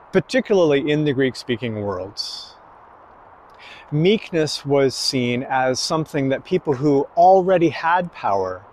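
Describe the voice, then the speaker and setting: A man preaching a sermon slowly and emphatically, pausing between phrases. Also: medium pitch, a little mellow, a little hiss or hum. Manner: slow, emphatic preaching with long pauses.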